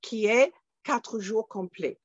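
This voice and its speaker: A woman's voice: fairly high pitch, takes it slowly, dry recording, highs cut off. A woman with a foreign accent in French, explaining things conversationally as an interview guest and instructor.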